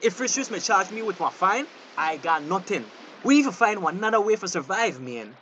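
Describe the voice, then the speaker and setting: An actor plays a high-pitched Belizean Creole fisherman in a radio drama. He is honest and worried, pressing his point with urgent exasperation over faint background noise. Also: big pitch swings, fairly quick, sharp tone, full character voice. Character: anxious, honest male fisherman, wary of crime. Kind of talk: naturalistic dialect radio drama acting.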